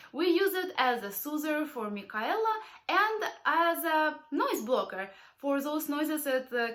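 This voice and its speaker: A woman's voice: sits high, a bit bright. A young woman with a cold, hosting a parenting channel and talking straight to her viewers in a friendly product review vlog. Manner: friendly, direct address to viewers. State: has a cold.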